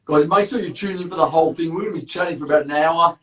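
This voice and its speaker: Big-voiced host in echoey room. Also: male voice, on the high side, racing. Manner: loud, big voice, chatty and upbeat. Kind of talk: casual live-stream interview. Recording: echoey room, distant mic.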